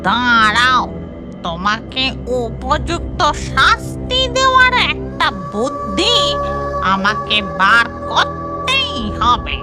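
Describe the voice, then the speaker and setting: A woman's voice: fairly high pitch, huge pitch swings, takes it slowly, heavily acted. A woman voicing an indignant, vengeful female village ghost in a cartoon, scolding and threatening in exclamations. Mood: indignant, plotting. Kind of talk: animated story acting. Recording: loud music under the voice.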